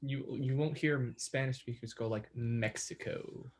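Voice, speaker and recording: A man's voice: mid-range voice, steady tone, measured pace, a little mellow, a little hiss or hum. Young male podcast co-host, hesitant and filler-heavy, casual. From New York, USA. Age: young adult. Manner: hesitant, many fillers and restarts. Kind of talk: casual chat.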